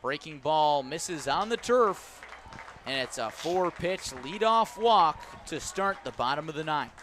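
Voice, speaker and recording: A man doing live baseball play-by-play on the radio, giving measured, detailed calls that swell on key plays. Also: fairly high pitch, expressive, fairly bright tone, some chatter behind. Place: ballpark broadcast position.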